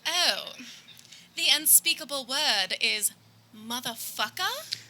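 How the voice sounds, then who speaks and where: A woman's voice: sits high, animated, takes it slowly, bright, forceful tone, a little hiss or hum, heavily acted. A woman playing a polite, naive 1920s lady guest in a comic radio play, with formal, over-proper speech. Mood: innocently puzzled. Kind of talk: live comic radio theater acting.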